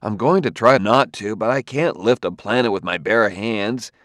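A man's voice: fairly low pitch, moderate pace, a bit bright, no room sound, clean studio sound, lightly acted. A man voicing a weary, dogged male investigator in an audiobook novel, blunt and resigned yet earnest and tender. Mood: resigned, worried.

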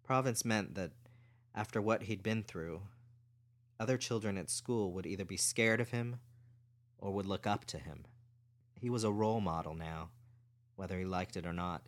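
A man's voice: low voice, moderate pace, pauses often, a bit bright. A man reading fiction aloud as a scripted story narrator. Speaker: audiobook narrator.

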